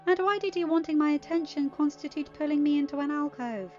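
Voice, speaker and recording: A woman's voice: high-pitched, brisk, lo-fi sound, faint music behind, pitched above the performer's own voice, lightly acted. A woman voicing an irritated, sarcastic teenage girl with magic powers in a story reading, blunt and defiant. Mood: exasperated.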